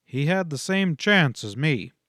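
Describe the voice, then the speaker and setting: A man's voice: a little high, expressive, unhurried, fairly bright tone, no echo, studio quality. A man voicing a stubborn, honest frontier lawman in a western audiobook, terse, dogged and plain-spoken.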